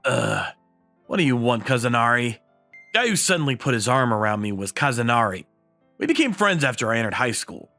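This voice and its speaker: A man voicing an excitable, self-pitying high school boy in a comic anime-style dub, with dramatic outbursts and glum asides. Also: a little fast, soft background music. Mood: wary, then dry narration. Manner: exasperated, dramatic outbursts and glum inner monologue.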